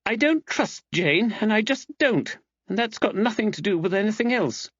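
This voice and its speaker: Man voicing an upset, high-pitched young woman. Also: lively intonation, a bit bright, no echo, muffled recording. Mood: upset, insistent. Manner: emphatic, earnest, rushed. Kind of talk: audiobook character dialogue.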